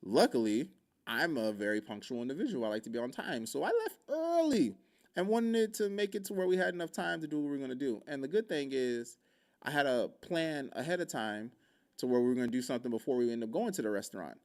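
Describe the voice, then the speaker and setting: A man from Hawaii spins a casual story on a podcast, his voice sitting in an ordinary middle register with a gravelly, fry-touched texture. He tells it loosely and playfully, dropping in jokes and little vocal sound effects as he goes. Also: mid-range voice, quick pace. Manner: animated, joking, with vocal sound effects and laughs. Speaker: podcast speaker.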